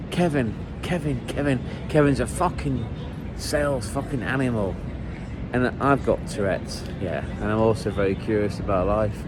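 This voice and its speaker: Male vlogger, chatty and joking, slightly hoarse, mid-range voice, traffic rumbling behind on a handheld camera. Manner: chatty, jokey, rambling asides. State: a bit hoarse and run down. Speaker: football travel vlogger. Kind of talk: walking travel vlog chat. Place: outdoors on city streets, walking. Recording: street traffic noise behind.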